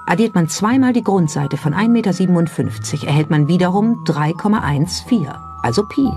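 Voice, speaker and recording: Documentary narrator, medium pitch, measured and suspenseful scripted read, music underneath. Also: expressive. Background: background music.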